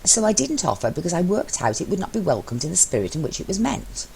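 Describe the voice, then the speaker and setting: A woman voicing a bossy, sardonic husband in an amateur story reading, speaking with emphatic, deliberate sarcasm. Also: lowish voice, big pitch swings, vocal fry, warm tone, slight background noise, voice pushed up, rough recording. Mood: exasperated.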